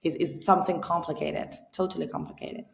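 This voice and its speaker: A woman's voice: deep voice, very animated, brisk, vocal fry, reverberant. A woman in her thirties from Iran, with an Iranian accent, speaking English as a second language as an interview guest. She talks earnestly and often restarts her sentences while she searches for words. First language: Persian. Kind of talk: casual interview conversation.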